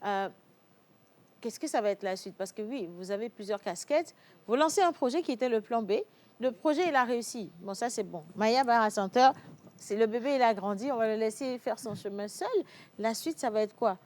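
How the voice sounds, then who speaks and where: A woman hosting a TV talk show in a studio, interviewing a guest with quick, jokey asides. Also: on the high side, a little mellow.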